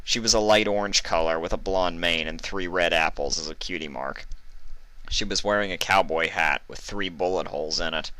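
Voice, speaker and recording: A deep-voiced man narrates a written story. His tone has a bright, forceful edge, and the sound is very dry, with no room on it. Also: normal speed, no echo, poor audio. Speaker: fan fiction reader.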